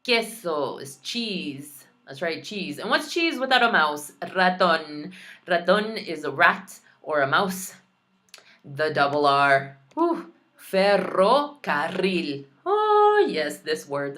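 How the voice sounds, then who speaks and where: A woman's voice: fairly low pitch, sing-song, takes it slowly, fairly bright tone. A young adult woman, a Spanish heritage speaker, teaching vocabulary in a recorded lesson with careful pronunciation and playful asides.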